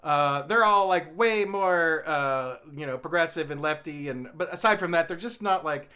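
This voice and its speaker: Man from the american south, high bright voice, clear and smooth, chatty comic ramble, laughs a lot. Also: moderate pace. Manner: chatty, laughs at his own jokes. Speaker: comedian vlogger.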